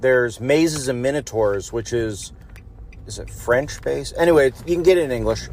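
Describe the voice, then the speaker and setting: A man of middling pitch leaves a voicemail from his car, with faint road noise behind him. He rambles casually, stumbling over word starts and repeating himself as he thinks aloud. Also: a little mellow. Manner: halting, repeats words and restarts often. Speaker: podcast voicemail caller. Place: in a car in traffic. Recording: noisy voicemail from inside a car. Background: car and traffic noise.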